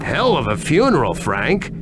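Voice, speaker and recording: A man's voice: medium pitch, wildly swooping pitch, unhurried, heavy background noise. A man playing an irreverent, nervy informant in a video game, sardonic and rambling, with an American accent. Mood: wry. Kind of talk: video game cutscene dialogue.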